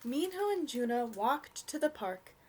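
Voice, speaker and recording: A young adult woman reading a storybook aloud for children in an expressive, gentle way. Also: high voice, unhurried, breathy edge.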